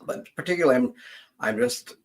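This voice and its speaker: A middle-aged man hosting a casual livestream as a genealogist, rambling and thinking aloud in a halting way. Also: high voice, sing-song, gravelly, husky.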